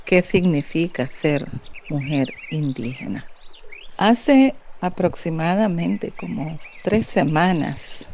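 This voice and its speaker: A Miskito-speaking woman from Nicaragua, answering interview questions in an earnest, deliberate way. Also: sits low, a little mellow, slight background noise. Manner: earnest, deliberate, frequent "¿verdad?" tag. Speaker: guest. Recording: muffled lo-fi audio.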